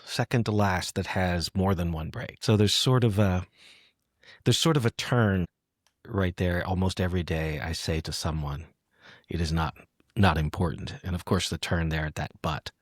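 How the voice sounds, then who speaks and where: A man hosting a poetry podcast, talking and reading in a reflective, halting conversational way. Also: sits low, no echo, clean studio sound. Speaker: podcast host. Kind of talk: conversational poetry interview with read-aloud poems.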